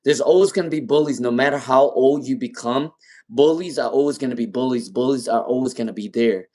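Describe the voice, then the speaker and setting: A man's voice: mid-range voice, brisk, warm tone. A man with a non-native accent and a speech impairment, giving live tarot readings. He repeats names and questions over and over as he pulls cards. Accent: non-native English. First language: not English. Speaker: tarot reader.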